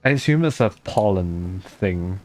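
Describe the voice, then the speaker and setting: A woman's voice: a bass voice, animated, a little slow, a little mellow. A woman giving casual playthrough commentary as a game streamer, musing aloud and reading dialogue in an offhand way. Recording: game music behind.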